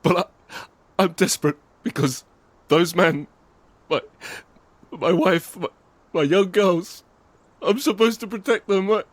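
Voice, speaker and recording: A man playing a frightened, desperate father in an apocalyptic audio drama, speaking with halting, intense urgency. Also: sits high, steady tone, no room sound. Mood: desperate pleading. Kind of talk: first-person scripted audio drama narration with acted dialogue.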